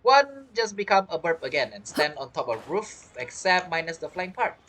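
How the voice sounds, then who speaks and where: A woman streamer with comments casually over gameplay. Her voice is lowish but varies a lot as she muses aloud haltingly, with faint game music behind her. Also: big pitch swings, fairly bright tone.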